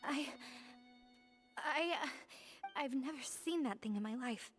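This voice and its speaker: Timid, high-voiced young woman. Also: unhurried, faint music behind. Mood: fearful, apologetic. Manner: hesitant, formal, apologetic. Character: frightened, deferential young woman seeking help. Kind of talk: video game voice acting.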